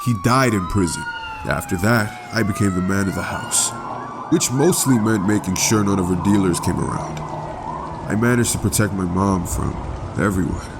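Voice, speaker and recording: Sardonic young antihero, acted by a man, lowish voice. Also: expressive, normal speed, creaky, noisy background. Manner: sardonic, wry. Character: bitter, sardonic young male antihero telling his origins. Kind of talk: dramatic first-person narration.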